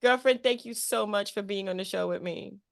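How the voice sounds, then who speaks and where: A woman's voice: mid-range voice, fairly quick, gravelly. Chummy, emphatic woman hosting an interview. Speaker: interview show host. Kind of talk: casual interview chat.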